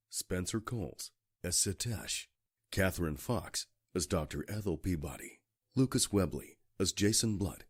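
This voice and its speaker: A man's voice: deep voice, slightly rough, dry recording, clean studio sound. A man reading scripted cast credits as the announcer of an audio drama.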